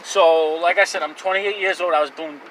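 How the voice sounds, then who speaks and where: A man's voice: high-pitched, fairly even intonation, fairly quick, a bit bright, far from the mic, slight background noise, rough recording. A man in his twenties telling his own life story to camera in a rambling, candid way, with fillers and restarts. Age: 20s. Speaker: vlogger.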